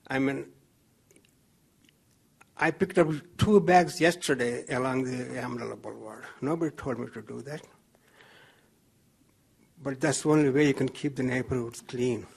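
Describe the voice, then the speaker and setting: A man giving impromptu remarks at a city council meeting about picking up litter, halting and full of fillers. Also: mid-range voice, gravelly, a bit bright.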